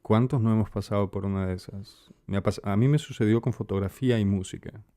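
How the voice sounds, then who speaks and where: A man's voice: lowish voice, a little fast, mellow, dry recording, studio quality. A man with an Ecuadorian Spanish accent hosting a casual, conversational interview. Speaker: interviewer, host.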